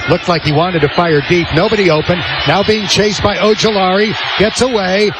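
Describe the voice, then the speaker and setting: A man's voice: high-pitched, fairly even intonation, moderate pace. An American man calling football play-by-play on radio in clipped, descriptive phrases. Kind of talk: live American football commentary. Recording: clearly noisy live stadium broadcast.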